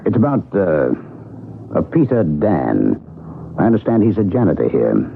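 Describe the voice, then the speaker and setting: An actor playing an earnest, devoted, courteous searcher in an old-time radio drama, speaking formally and hesitantly. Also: male voice, low voice, sing-song, normal speed, vocal fry, a little hiss or hum, exaggerated voice. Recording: tinny vintage radio, music behind.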